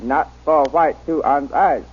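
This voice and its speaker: Wary native guide, slow halting pidgin. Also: male voice, a little high, breathy edge. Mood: wary, guarded. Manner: halting pidgin English, clipped phrases. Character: wary native guide, loyal, simple, cautious man. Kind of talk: old-time radio drama acting. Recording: muffled, dull old radio recording.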